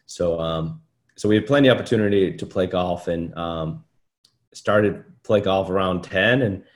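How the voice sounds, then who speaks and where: A man's voice: deep voice, normal speed. A man in his late thirties who grew up in Iceland and speaks English as a second language, a golf coach chatting in an interview with many fillers and restarts. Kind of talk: casual interview conversation.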